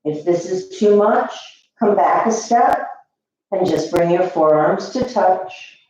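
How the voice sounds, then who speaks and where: A woman teaching yoga, guiding breath and posture gently and soothingly with long pauses. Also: on the low side, animated. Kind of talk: guided yoga and meditation instruction. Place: echoey indoor room. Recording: echoey room, slightly dull sound.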